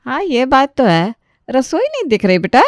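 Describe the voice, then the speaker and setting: A woman's voice: high voice, huge pitch swings, moderate pace, no echo, voice pushed up. A woman acts out an older Indian family matriarch from a cartoon story, fussy and commanding. She chats and gives orders as head of the house, in a very dry, close recording. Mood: puzzled, demanding. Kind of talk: animated Hindi family story dialogue.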